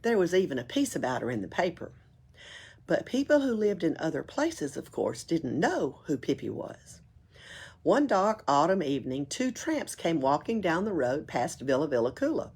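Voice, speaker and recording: A woman's voice: low-pitched, big pitch swings, normal speed, a little mellow, breathy edge. A woman reading a children's book aloud expressively, as at a library storytime.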